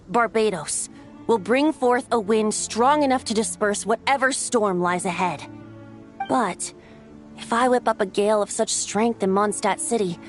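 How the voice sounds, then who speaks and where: A woman voicing a boyish wind god bard in a video game, speaking in a lyrical, theatrical, gently teasing storyteller's manner, playful yet wise. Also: mid-range voice, lightly acted. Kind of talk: video game character dialogue.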